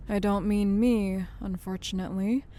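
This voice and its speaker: A woman's voice: mid-range voice, steady tone, normal speed, mellow, close to an everyday voice. A woman acting as a wry, worldly enforcer for a crime syndicate in an audio drama, with a knowing, teasing manner. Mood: knowing, advising. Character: wry, worldly female syndicate muscle, a secret informant.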